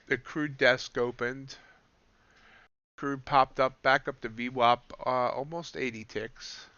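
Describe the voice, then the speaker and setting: Man, bright forceful voice, slightly raspy, live trading commentary, dull lo-fi sound. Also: medium pitch, steady tone, takes it slowly, no echo. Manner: thinking aloud, correcting himself mid-sentence. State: slightly raspy from streaming for hours. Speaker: streamer, day trader.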